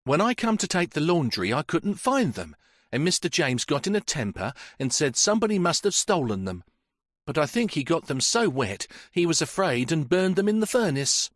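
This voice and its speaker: A man's voice: a little high, very animated, moderate pace, no room sound, studio quality, pitched above the performer's own voice. A man voicing an elderly, chatty woman housekeeper who gossips freely about the family she works for. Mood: gossipy. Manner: gossipy, talkative.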